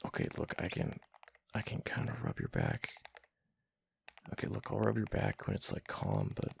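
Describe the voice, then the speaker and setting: A man performs a young gamer boyfriend for an ASMR roleplay, his low voice full of heavy fry. He talks in lively swings, casual and halting, reluctant but fond. There is faint noise in the recording. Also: full character voice. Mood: soft, reluctant, affectionate. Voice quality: deep, gravelly, breathy murmur at times. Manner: halting, sighing, slangy. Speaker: voice actor in boyfriend roleplay audio.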